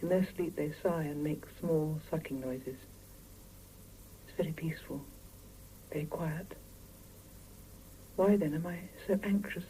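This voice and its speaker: A woman's voice: low voice, unhurried, pauses often, warm tone, low-quality recording. An actress playing a weary, exasperated Soviet working mother with dry, sardonic delivery in a 1970s radio drama. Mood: weary, reflective. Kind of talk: 1970s radio drama, dialogue and intimate first-person narration. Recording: hissy 1975 radio drama cassette, mono.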